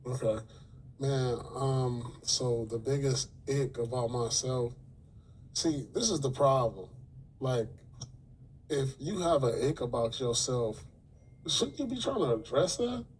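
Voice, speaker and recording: A man's voice: mid-range voice, lively intonation, slow pace. A man chatting casually on a podcast, playful and full of questions. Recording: distant mic with faint room noise.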